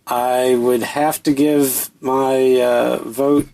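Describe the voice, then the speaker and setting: A man from leaving a recorded message for a podcast, plain and a little halting. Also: mid-range voice, flat delivery, creaky, warm tone, poor audio. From Louisiana, USA.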